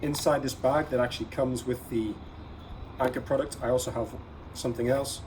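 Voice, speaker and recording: A man's voice: mid-range voice, steady tone, brisk, a little mellow. A man hosting a casual gear vlog, talking viewers through products in an instructive, advising way and stressing key words. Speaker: host of an everyday-carry gear vlog. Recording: clear background ambient noise.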